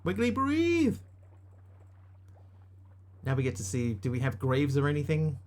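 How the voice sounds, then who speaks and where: A man's voice: on the high side, huge pitch swings, a little slow, darkish tone. A man from New Zealand with a New Zealand accent, streaming a game live and chatting casually with his viewers as he reads out their messages. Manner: chatty, reads out chat and answers it. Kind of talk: casual live gaming chat.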